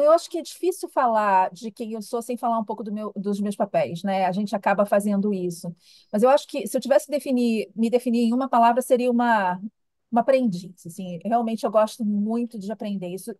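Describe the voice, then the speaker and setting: A woman's voice: a little high, a little fast, darkish tone, dry recording. A middle-aged woman with a Rio de Janeiro accent, answering interview questions in a reflective, conversational way. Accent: Rio de Janeiro Brazilian Portuguese. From Rio de Janeiro, Brazil. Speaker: interview guest, human development professional.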